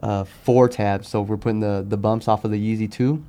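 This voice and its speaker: A man talking casually as a podcast guest, in informal slang, often halting and repeating his words as he explains things. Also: on the low side, steady tone, dark tone. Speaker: podcast guest, sneaker customizer. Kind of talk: casual interview chat.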